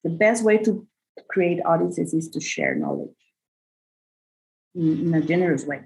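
A woman from Costa Rica speaking as an interview guest, earnest and animated, often adding "right?". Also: lowish voice, unhurried, rough recording. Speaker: guest, bassoonist and arts educator. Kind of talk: conversational interview.

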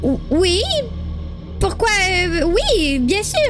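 A woman's voice: extremely high pitch, sing-song, slow, faint music behind, exaggerated voice. A woman playing an exasperated, impatient teenage girl in an amateur dramatised horror story. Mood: uneasy. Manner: impatient, insistent.

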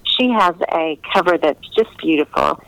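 A woman's voice: sits low, moderate pace, vocal fry, fairly bright tone, dry recording, low-quality recording. A woman chatting casually as an author being interviewed, gracious and enthusiastic in the way she talks.

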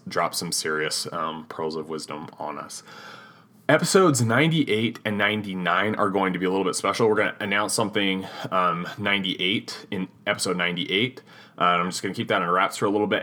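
A man's voice: deep voice, sharp tone. A man hosting a gaming podcast, giving casual, rambling announcements and often correcting himself mid-sentence. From Utah. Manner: rambling, self-correcting, chatty. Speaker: podcast host.

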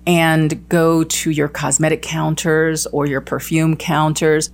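Woman presenter, low gravelly tone, animated delivery, short tips spot. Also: slow pace. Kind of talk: scripted tips segment.

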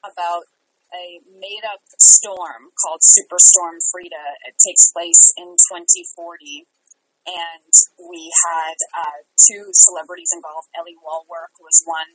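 A woman speaking conversationally as an interview guest about her own work, in an earnest, halting way with trailing pauses. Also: fairly low pitch, flat delivery, a bit bright, low-quality recording. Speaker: guest, theater company artistic director.

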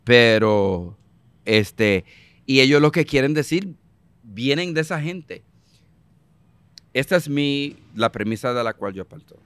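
A man's voice: medium pitch, animated, slow, long pauses, a bit bright, smooth, dry recording. A man with a Puerto Rican Spanish accent, a guest in a political interview, talking emphatically, repeating himself and slipping into English phrases. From Puerto Rico.

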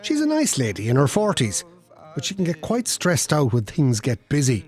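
An actor playing a wry, exasperated radio-station insider narrating a comedy play in an arch tone, with an Irish accent. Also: male voice, a little high, wildly swooping pitch, normal speed, a little mellow.

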